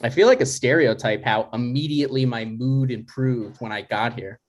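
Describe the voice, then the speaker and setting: Guy reading dry skeptical adult son, deadpan, kinda halting, middle pitch, comedy script. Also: natural voice. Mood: dry, teasing. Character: dry, skeptical grown son, struggling writer. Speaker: actor in a table read. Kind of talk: conversational comedy script read aloud.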